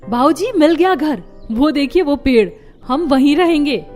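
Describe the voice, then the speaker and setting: Young cartoon daughter-in-law, fairly high, soft warm tone, earnest, resourceful, respectful. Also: female voice, animated, a little slow, soft background music. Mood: excited. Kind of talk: Hindi cartoon story voice acting.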